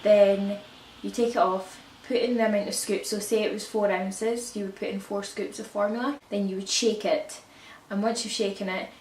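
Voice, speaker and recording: A woman with a croaky voice from a cold, chatting casually to camera about baby products and often correcting herself. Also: mid-range voice, fairly even intonation, moderate pace, a little mellow. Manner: rambling, self-correcting, chatty. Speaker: parenting vlogger. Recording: echoey room, distant mic, faint hiss.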